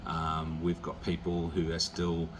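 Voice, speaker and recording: A man with an Australian accent speaking unscripted as a visiting pastor, in a halting, plain, reassuring way to a church. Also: deep voice, completely flat delivery, noisy background. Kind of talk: unscripted encouragement to a church congregation.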